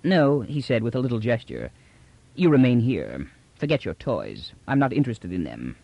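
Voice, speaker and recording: A man's voice: mid-range voice, big pitch swings, normal speed, creaky, darkish tone, dry recording. A man doing a cold, lazy aristocrat. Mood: disdainful. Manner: lazy, disdainful, clipped. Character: cold, haughty male aristocrat secretary.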